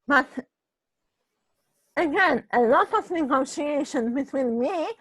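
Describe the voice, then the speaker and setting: A woman from Poland with a Polish accent and a husky voice, telling a personal story in casual chat, halting and restarting her phrases and often adding "right?". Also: sits high, animated, a bit bright, no room sound. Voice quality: husky voice, ongoing voice problem.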